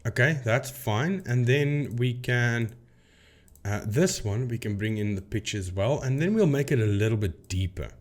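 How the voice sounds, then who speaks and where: A man's voice: medium pitch, expressive, unhurried, slight background noise. A man hosting a casual explainer video, talking informally to viewers and thinking aloud as he demonstrates. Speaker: video host, paranormal debunker.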